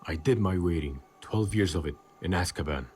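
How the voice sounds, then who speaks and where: A man's voice: deep voice, fairly quick, a little mellow, slight background noise, natural voice. A man playing a bitter, vengeful escaped prisoner wizard in an audio drama, brooding and menacing in a theatrical way. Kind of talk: fan audio drama acting.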